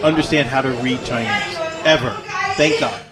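A man co-hosting a casual talk show, telling stories in an animated way and acting out what other people said. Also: mid-range voice, moderate pace, gravelly, over a noisy crowd, heavy background noise. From lives abroad as a foreigner. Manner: animated storyteller who acts out other people's lines. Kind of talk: casual chat.

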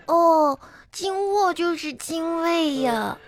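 A woman's voice: extremely high pitch, slow, exaggerated voice. A woman playing a cheeky, naive little schoolgirl in a comedy radio drama, answering back in a childlike manner. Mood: innocent cheek. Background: music.

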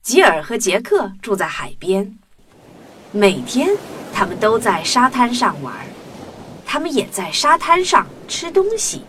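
A woman narrating a scripted children's story in an expressive storytelling manner. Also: on the high side, unhurried, background noise.

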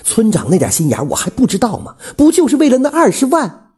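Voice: medium pitch, wildly swooping pitch, moderate pace, mellow, husky, voice pushed up, full character voice. A storyteller voicing a coquettish middle-aged village widow, sweetly coaxing and insistent. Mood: pleading.

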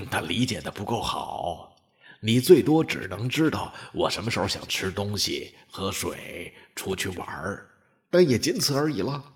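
An actor playing a friendly old dog, easygoing and eager, in a radio drama. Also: male voice, on the low side, sing-song, measured pace. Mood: frank, gentle. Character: friendly old dog, a space explorer's loyal companion.

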